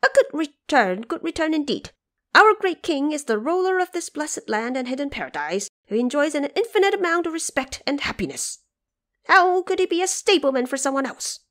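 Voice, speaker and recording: A woman voicing loyal, excitable monkeys who adore their king, in an audio drama. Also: high voice, normal speed, pitched above the performer's own voice. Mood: excited praise. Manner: excitable, adoring. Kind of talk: audio drama character acting.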